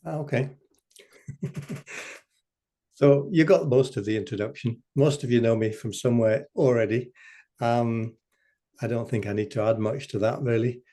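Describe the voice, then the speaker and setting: A man from England speaking calmly and drily as a guest on a video call. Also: medium pitch, moderate pace, long pauses. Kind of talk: casual talk on a video call. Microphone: computer mic on a video call.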